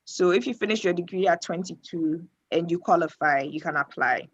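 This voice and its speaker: A woman explaining things off the cuff in a panel discussion, pausing to find her phrasing. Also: lowish voice, breathy edge, lo-fi sound. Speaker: air traffic controller answering questions.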